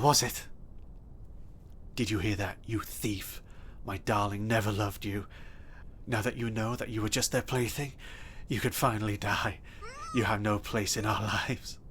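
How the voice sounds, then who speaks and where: A man playing an obsessive, possessive stalker in an audio roleplay, speaking softly and intimately with a threatening edge. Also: lowish voice, steady tone, normal speed, a little hiss or hum, lightly acted. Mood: gleeful. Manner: soft, intimate and menacing, bursting into threats. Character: obsessive, possessive male stalker kidnapper, adult.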